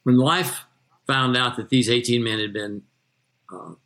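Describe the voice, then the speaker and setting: Older American man, bright forceful voice. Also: medium pitch, fairly even intonation, measured pace. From Texas, United States. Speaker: interview guest, retired magazine journalist. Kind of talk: anecdotal storytelling in an interview.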